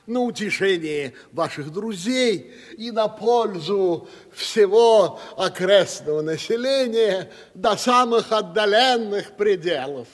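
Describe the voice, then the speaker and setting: An actor playing a pompous, flowery provincial landowner making speeches in a 1970s radio play. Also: male voice, high-pitched, expressive, takes it slowly. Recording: reverberant studio.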